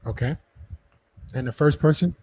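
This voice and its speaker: A man with a fairly high voice hosts a casual podcast panel. He presses his guests with pointed questions. He keeps cutting in and repeating himself to steer the talk. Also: moderate pace, darkish tone, husky. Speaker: podcast host.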